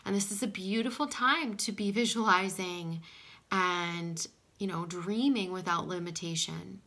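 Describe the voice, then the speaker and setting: Female card reader, bright voice roughened by pollen, gentle and reassuring, recorded at a table in a small home room. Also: on the low side, measured pace. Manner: soft-spoken, drifting into whispers, frequent "okay?". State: scratchy voice from pollen. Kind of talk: casual oracle card reading.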